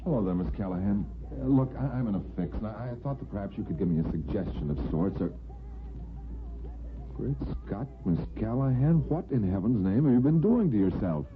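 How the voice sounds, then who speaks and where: An actor playing a flustered, kindly male visitor in an old-time radio drama, halting and exclamatory. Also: on the low side, animated, natural voice. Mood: flustered, startled. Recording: muffled vintage radio recording.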